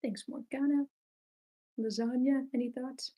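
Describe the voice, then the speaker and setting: A woman's voice: high-pitched, steady tone, measured pace, dark tone. A woman casually hosting a group discussion, calling on people for feedback. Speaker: host of an online screenplay table read.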